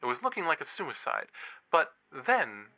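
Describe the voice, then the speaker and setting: Casting: college-age ghost-story podcaster, eager and playful, very forceful bright voice, music underneath. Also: male voice, on the high side, moderate pace, poor audio. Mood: eager, suspenseful. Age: young adult. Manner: animated, teasing, suspenseful storyteller. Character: eager young male college true-crime podcaster, spooked yet playful. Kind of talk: horror audio drama, conversational storytelling.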